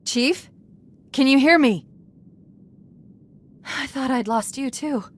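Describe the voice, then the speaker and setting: A woman's voice: high voice, slow. An actress playing a caring, devoted female artificial intelligence companion in a video game, tender and intimate in manner. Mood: anxious, relieved. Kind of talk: dramatic video game cutscene acting. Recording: faint ambient noise.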